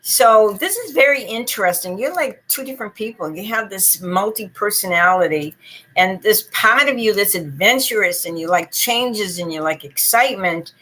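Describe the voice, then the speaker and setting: Bright-voiced Bostonian psychic woman. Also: medium pitch, animated, normal speed, low-quality recording. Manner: chatty, long-winded, laughing often. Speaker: psychic reader. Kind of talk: live-stream tarot reading.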